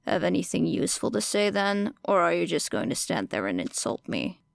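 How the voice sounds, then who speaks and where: A woman voicing a wary, defensive teenage boy in an audiobook, with a flat, matter-of-fact delivery. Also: fairly low pitch, fairly quick, gravelly, no room sound, clean studio sound, heavily acted. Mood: bored, wary. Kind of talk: audiobook character dialogue.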